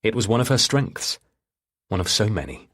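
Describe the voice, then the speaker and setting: Low husky male audiobook narrator. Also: sing-song, moderate pace, a bit bright. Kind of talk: scripted narration of a thriller novel.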